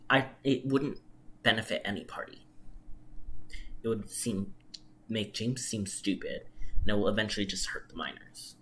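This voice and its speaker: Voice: mid-range voice, moderate pace, pauses often, fairly bright tone. A podcast co-host chatting casually about their opinions in an emphatic, slangy way. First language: non-English first language. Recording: clear background noise.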